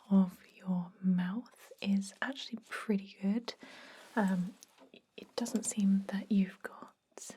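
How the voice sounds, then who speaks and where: A woman whispering an ASMR roleplay in a breathy voice, playing a calm, reassuring dentist who explains things gently. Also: medium pitch, slight background noise. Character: calm, professional female dentist to vampires, reassuring.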